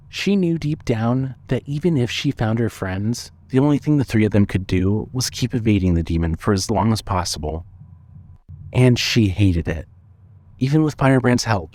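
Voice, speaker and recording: A man narrating a scripted story with measured, suspenseful storytelling. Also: lowish voice, a little mellow.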